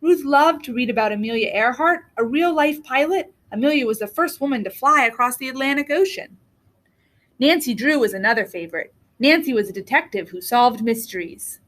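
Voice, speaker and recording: A woman reading a children's book aloud as a storyteller, with deliberate, expressive phrasing. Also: high voice. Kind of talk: read-aloud storybook narration.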